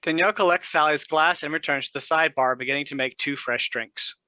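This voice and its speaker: Brisk male stage-direction reader, sharp tone, dry close sound. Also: a little high, no echo. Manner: brisk, matter-of-fact.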